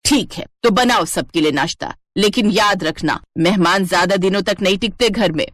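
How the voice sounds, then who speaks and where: A woman voicing a sharp-tongued middle-aged Indian mother-in-law in a cartoon family drama, taunting and dramatic. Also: medium pitch, moderate pace, dry recording, natural voice. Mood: scornful.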